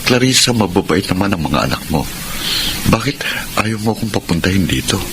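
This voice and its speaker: A middle-aged Filipino suitor with a low voice, earnest and persistent. He speaks in a measured, pleading way. Clear noise sits behind the radio drama. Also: lively intonation. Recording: noisy radio drama broadcast.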